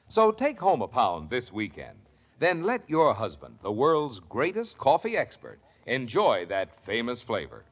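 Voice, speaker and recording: An American man delivering an emphatic, persuasive 1950s radio advertising pitch. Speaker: commercial announcer. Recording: muffled vintage radio recording.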